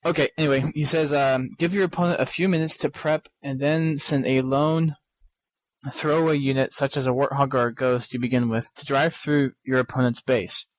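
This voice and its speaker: A man co-hosting a podcast, reading listener emails aloud haltingly, with frequent ums and restarts. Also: medium pitch, fairly even intonation, poor audio. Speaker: podcast co-host.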